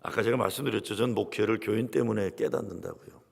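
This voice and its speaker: Guest pastor, male, gravelly voice, swinging delivery, long church-hall reverb. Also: fairly low pitch, fast. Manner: lively storytelling, quoting congregants, dramatic drops to near whispers. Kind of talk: revival sermon with storytelling. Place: large church sanctuary.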